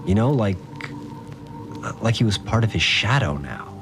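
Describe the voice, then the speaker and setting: A troubled fixer, recounts things in a very low male voice. He is plain-spoken, hesitant and full of doubt, as in an audio drama confession. Music plays clearly behind him. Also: lively intonation, measured pace. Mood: wary, haunted.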